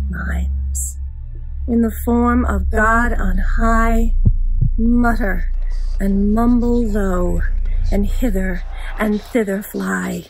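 A middle-aged woman giving a dramatic, theatrical gothic poetry recitation, hushed at times. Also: mid-range voice, poor audio. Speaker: actor reading a poem.

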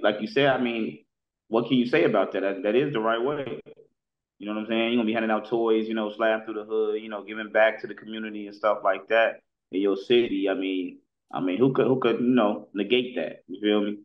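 A man's voice: lowish voice, steady tone, brisk, echoey room. A man, sounding middle-aged, giving casual street commentary in slang, repeating himself and often checking that the listener follows. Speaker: commentator on street and rap news. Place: indoor room, video call. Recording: dull, muffled call audio.

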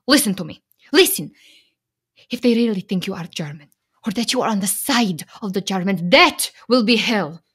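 An actress playing a firm, protective Slovak immigrant wife in a radio play, speaking with a Slovak accent in a measured, pleading, emphatic way. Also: female voice, medium pitch, expressive, fairly bright tone, husky. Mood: urgent. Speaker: actor.

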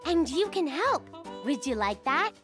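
A woman voicing a cheerful, bossy young girl bunny in a children's cartoon, explaining things patiently and clearly. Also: high-pitched, very animated, unhurried. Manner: articulate, patient, instructing. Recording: music behind the voice.

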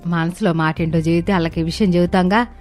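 A woman's voice: lowish voice, steady tone, quick pace, darkish tone, close to an everyday voice. A woman playing a middle-aged mother who is firm and insistent with her son. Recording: background music under voice.

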